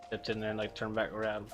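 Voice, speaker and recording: A man streaming a game, chatting casually with relaxed, mumbled asides and frequent chuckles. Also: fairly low pitch. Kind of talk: casual gameplay commentary.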